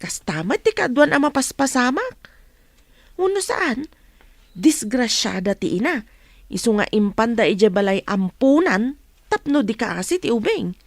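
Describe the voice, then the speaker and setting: A woman's voice: a little high, big pitch swings, unhurried, no room sound, exaggerated voice. A woman playing a respectful, soothing household helper in a radio drama. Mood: consoling. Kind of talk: Ilocano radio drama acting.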